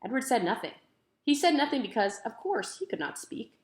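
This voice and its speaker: A woman's voice: fairly high pitch, sing-song, fairly quick. A woman narrating a children's book aloud expressively, with careful, emphatic storytelling phrasing. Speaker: teacher reading aloud. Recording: roomy echo.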